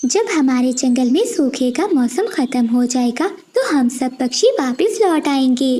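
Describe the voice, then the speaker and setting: A woman's voice: high voice, lively intonation, measured pace, warm tone. A woman voicing a kind little female sparrow, earnest and pleading, in a children's cartoon. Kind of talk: animated children's moral story dialogue.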